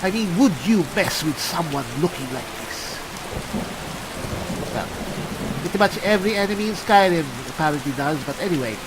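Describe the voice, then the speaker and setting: A man playing a chatty, pompous adventuring cleric in an improvised fantasy game roleplay, halting and musing theatrically with dry humour. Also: on the high side, expressive, very noisy, lightly acted. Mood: musing, amused. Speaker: gamer playing a character.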